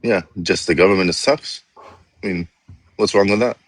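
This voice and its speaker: Deep-voiced man from Iraq, arguing. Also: moderate pace, no echo. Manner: argumentative, talks over the host, laughs mid-sentence. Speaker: live-stream guest. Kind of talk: heated political debate.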